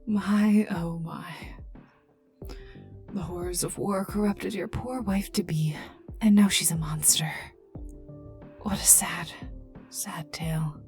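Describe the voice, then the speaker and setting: A woman playing a cold, sardonic, menacing female scientist in an ASMR roleplay, taunting the listener in breathy half-whispers. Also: on the low side, heavily acted. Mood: sardonic, coldly amused. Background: calm ambient music.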